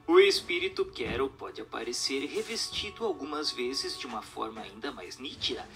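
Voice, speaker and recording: A man's voice: mid-range voice, lively intonation, normal speed, vocal fry. A Brazilian man reading a book aloud as scripted narration, in a formal, didactic manner. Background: background music.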